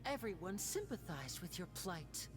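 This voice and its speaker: An actor playing a suave young male magician turned detective in a video game, theatrical, measured and mockingly polite. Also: high voice, sing-song. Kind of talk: English video game voice acting. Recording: background music under the voice.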